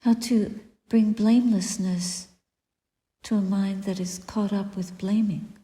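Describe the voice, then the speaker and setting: A woman guiding a meditation as a Buddhist teacher, speaking softly and deliberately in short phrases with long pauses and breathy endings. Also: mid-range voice, steady tone. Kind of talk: guided meditation, spoken dharma reflection. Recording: echoey room with long reverb.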